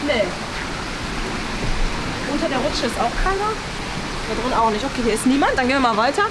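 A woman's voice: high-pitched, lively intonation, a little fast, very noisy. A young woman hosting a vlog in a casual, chatty way, thinking aloud as she talks to the camera. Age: young adult. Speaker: host of a YouTube challenge vlog. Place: large indoor swimming pool hall.

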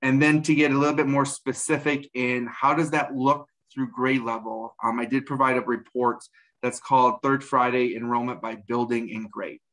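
Forties male administrator, steady explanatory delivery with many fillers, webcam audio from home. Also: mid-range voice. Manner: measured, frequent um and uh fillers. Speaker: school district administrator. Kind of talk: meeting report. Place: home room, indoors. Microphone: video call webcam.

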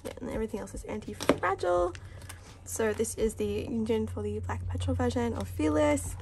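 A young woman, chatting casually as a vlogger while she sorts her binders, her voice rough from a cough, often giggling. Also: a little high. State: sounds sick, coughing voice. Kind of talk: casual chat. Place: indoors at a desk. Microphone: overhead camera, hands only.

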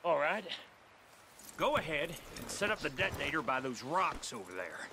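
An actor playing a rough, guarded outlaw in a western video game, curt and casual. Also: male voice, on the high side, very animated, moderate pace. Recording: faint outdoor ambience noise.

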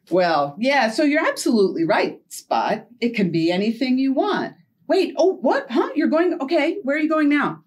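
A middle-aged woman hosting a storytime for young children, talking in an animated way with simple questions and playful surprise. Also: on the high side. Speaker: children's librarian and storytime host. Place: small indoor set with felt board and book cart.

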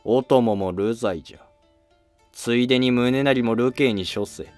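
A man's voice: mid-range voice, unhurried, a little mellow, dry recording, natural voice. An imperious Heian emperor , acted by a man, speaks tersely and with command. It is period drama delivery, close and dry over faint music. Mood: contemptuous, commanding. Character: curt, imperious Japanese emperor of the Heian court.

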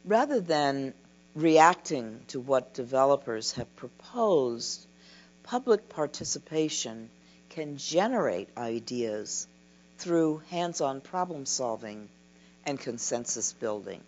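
An older woman giving a keynote lecture from a podium, reading a prepared talk in a slow, measured way. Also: rumbling low, big pitch swings, darkish tone. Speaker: keynote speaker. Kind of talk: scripted conference lecture. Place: dim lecture hall at a podium. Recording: dull lo-fi recording with mains hum.

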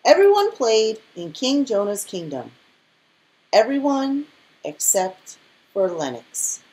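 Woman reading a storybook, highly animated. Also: takes it slowly, low-quality recording. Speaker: storybook reader. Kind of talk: expressive children's read-aloud.

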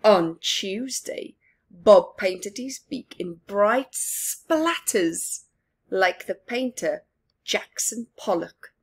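A woman storyteller in her forties reads to young children with a husky, breathy voice. She goes very slowly, leaving dramatic pauses, and her pitch moves in lively swings. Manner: deliberate, with dramatic pauses and emphasis. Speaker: storyteller reading picture books to children. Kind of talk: expressive read-aloud with asides to young viewers. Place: small living room at home.

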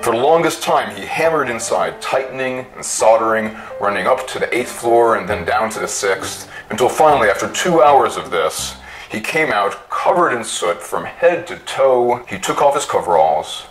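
A man giving a theatrical story reading with deliberate emphasis. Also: fairly low pitch, animated, a bit bright, low-quality recording. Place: small indoor room with bookshelves.

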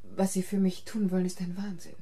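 An actress playing a distraught, haunted wife in a German radio thriller, anguished and halting, sometimes dropping into whispers. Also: female voice, on the low side, flat delivery, warm tone, slight background noise, natural voice. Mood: anguished, resigned. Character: distraught, haunted wife who killed her husband.

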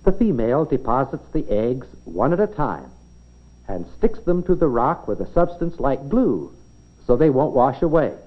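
Animated American man narrating a vintage educational film. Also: mid-range voice, moderate pace. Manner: storytelling, explaining simply as if to children. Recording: dull, band-limited 1950s film soundtrack.

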